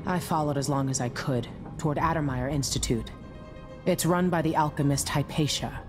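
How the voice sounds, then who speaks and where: A woman's voice: deep voice, normal speed, over music, lightly acted. A woman voicing a blunt, dry boat captain. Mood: steady. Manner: dry, blunt, matter-of-fact. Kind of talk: video game cutscene dialogue.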